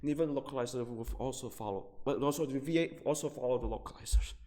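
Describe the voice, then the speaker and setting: A man delivering an angry, off-the-cuff gaming rant, agitated and profane, repeating himself haltingly with drawn-out emphasis. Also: mid-range voice, warm tone. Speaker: gaming video creator. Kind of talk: angry off-the-cuff rant about video games. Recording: long reverberant hall-like echo.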